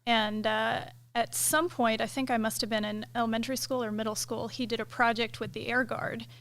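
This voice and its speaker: A middle-aged woman, a military pilot, talking through her career as a guest in a casual interview, relaxed and thoughtful, with many fillers. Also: on the high side, fairly quick. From United States. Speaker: KC-135 pilot and director of inspections, guest.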